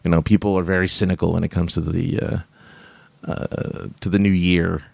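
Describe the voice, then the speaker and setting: A man's voice: low-pitched, flat delivery, moderate pace, gravelly, no echo. A man hosting a live stream, chatting casually and reading viewer comments, rambling with many fillers and restarts and laughing often.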